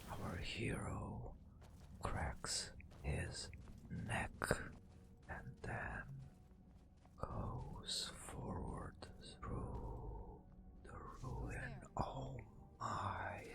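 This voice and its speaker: Very slow whispering man, ASMR storyteller. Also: low-pitched, very animated. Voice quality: breathy whisper. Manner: slow, hushed, dramatic narration with long pauses. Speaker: ASMR gaming host. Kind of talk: soft-spoken ASMR storytelling over fantasy gameplay.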